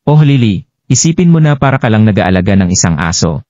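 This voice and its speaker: A man's voice: lowish voice, very animated, a little fast, mellow, no room sound. A Filipino man voicing a mocking, scornful young woman who taunts others in a narrated story. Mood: mocking, amused. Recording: dull lo-fi sound.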